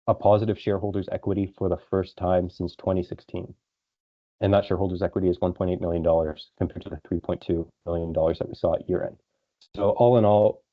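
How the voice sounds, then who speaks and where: Male corporate presenter, very low voice, businesslike financial briefing, muffled lo-fi conference call sound. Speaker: company executive presenting results. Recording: dull lo-fi conference call audio.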